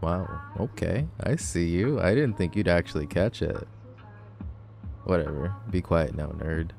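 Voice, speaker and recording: A man's voice: deep voice, measured pace, creaky, darkish tone, soft background music. A man playing a cocky, grumpy tall teenage delinquent boy in an audio roleplay, sarcastic, teasing and dismissive. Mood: gruff, teasing. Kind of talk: boyfriend ASMR audio roleplay.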